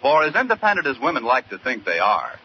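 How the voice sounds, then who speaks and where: A man's voice: mid-range voice, huge pitch swings, quick pace, slight background noise. A man working as a radio announcer, reading scripted introductions and narration in a 1940s style. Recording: muffled old radio recording. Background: loud music.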